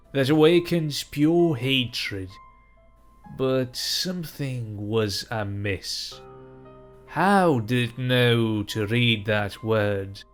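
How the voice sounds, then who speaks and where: A man reading a dark fantasy story aloud as narrator, slow and measured. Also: mid-range voice.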